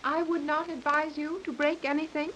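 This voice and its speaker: A woman's voice: sits high, level tone, moderate pace, fairly bright tone. A woman playing a stern young submarine commander in a 1930s radio serial, formal, imperious and humorless. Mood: cool, formal. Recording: dull lo-fi 1930s radio recording.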